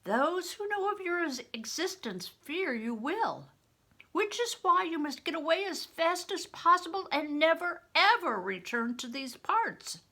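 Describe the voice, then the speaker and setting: Woman voicing an earnest, emphatic older widow, pitched up. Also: animated, unhurried, sharp tone, exaggerated voice. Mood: grave, pleading. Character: earnest, urgent older widow, warning a boy. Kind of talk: audiobook character reading.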